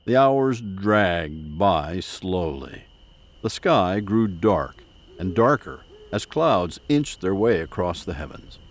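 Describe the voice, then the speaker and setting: A man narrating a scripted mystery audio drama in a deliberate, suspenseful manner. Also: low-pitched, sing-song.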